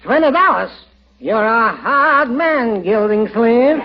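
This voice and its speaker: A man's voice: very high voice, expressive, slow pace, exaggerated voice. An actor playing a jovial, wisecracking furniture salesman, in the style of a 1940s radio comedy. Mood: haggling. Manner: comic malapropisms and salesman patter. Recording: dull, noisy 1940s radio recording.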